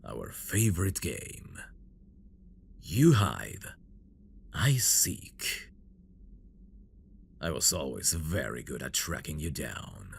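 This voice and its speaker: A very deep-voiced dragon prince, acted by a man, obsessive and menacing, in an intimate fantasy audio roleplay. He broods slowly and possessively, with a gravelly rasp and wide swings in intonation. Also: sing-song, slight background noise, exaggerated voice. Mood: triumphant, possessive. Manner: slow, brooding, menacing endearments, dropping into whispers. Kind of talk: ASMR roleplay, dramatic monologue.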